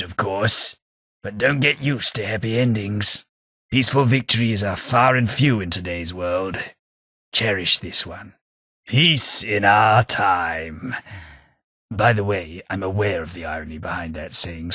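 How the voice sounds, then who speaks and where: An actor playing a refined, dry-witted male ghoul companion with an upper-class Southern English accent and a husky voice, recorded close in a studio for a game. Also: lowish voice, measured pace, pushed and bright, no room sound, exaggerated voice. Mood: dryly amused. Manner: dry, wry, refined delivery. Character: posh, witty male ghoul gentleman companion. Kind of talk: video game voice lines. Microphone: close studio mic.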